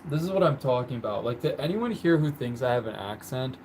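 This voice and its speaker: A man talks loosely into his stream, his voice sitting at a middling pitch with a lot of "like" and "um" between thoughts. Faint room noise hums underneath him as he chats at home. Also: lively intonation, brisk, a little mellow. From born in the country where he lives. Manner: chatty, rambling, with many "like" and "um" fillers. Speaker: livestream host. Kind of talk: casual livestream chat. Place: indoors at home, painting on the floor.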